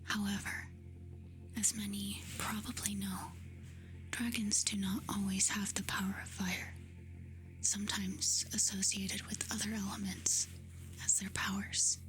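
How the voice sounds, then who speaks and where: A woman doing soft-spoken ASMR, reading facts aloud in a hushed, soothing, breathy whisper. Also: medium pitch, level tone, rough recording. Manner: slow, hushed, soothing delivery with short phrases. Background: music.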